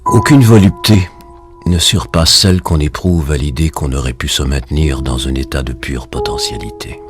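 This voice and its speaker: A man voicing a grave, very deep film narrator. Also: a little fast. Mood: solemn. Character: solemn adult man musing on nonexistence. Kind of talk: scripted philosophical film narration. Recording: narration laid over music.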